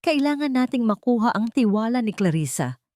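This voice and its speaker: A woman voicing a calm but firm professional man investigating a case in a dramatic story. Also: on the high side, fairly quick, dry recording, pitched above the performer's own voice.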